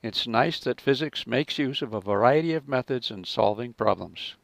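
A man narrating a scripted physics lesson as a teacher, in a measured, explanatory manner. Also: medium pitch, expressive, vocal fry, no room sound.

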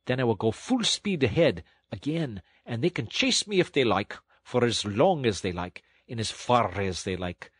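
A man voicing a stern but kindly train engine driver who speaks in a firm, plain way, in a husky voice. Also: medium pitch, normal speed, hardly any pauses, no room sound. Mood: stern then kind. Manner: steady, firm and plain-spoken. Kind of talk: audiobook storytelling.

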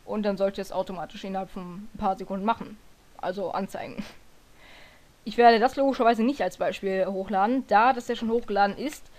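A woman with a hoarse voice walks through a software tutorial in a loose, chatty way. She rambles, corrects herself mid-sentence and fills gaps with hesitations. Her pitch sits in an ordinary middle range. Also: brisk. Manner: rambling, self-correcting, lots of fillers. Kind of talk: casual screen-recording tutorial.

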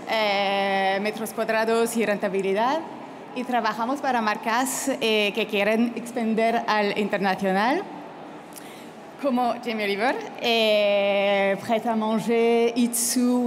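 A French woman presenting at a conference in Spanish as a second language, pausing often with fillers. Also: on the high side, fairly even intonation, a little hiss or hum. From France. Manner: hesitant, many filler pauses. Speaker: restaurant consultant speaker.

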